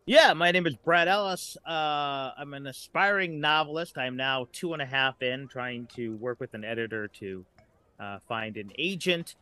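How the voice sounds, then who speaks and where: A man's voice: medium pitch, normal speed, pushed and bright, clean tone, dry recording. An American man in his late forties chatting casually as a podcast guest, in a rambling storyteller's way. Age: late 40s. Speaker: guest, writer.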